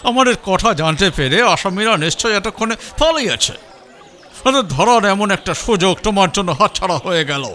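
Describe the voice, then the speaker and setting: A man's voice: high-pitched, lively intonation, normal speed, pushed and bright, pitched above the performer's own voice. A man voicing an irritable, complaining British police officer in a storybook reading. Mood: indignant. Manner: indignant, complaining.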